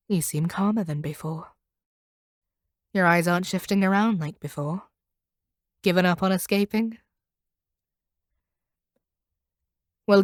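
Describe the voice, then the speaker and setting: A woman playing a proud, cold monster hunter in an audio roleplay, speaking to a captive in a measured, condescending and domineering way. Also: on the low side, no echo, clean studio sound, close to an everyday voice. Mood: calculating, condescending. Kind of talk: scripted audio roleplay monologue.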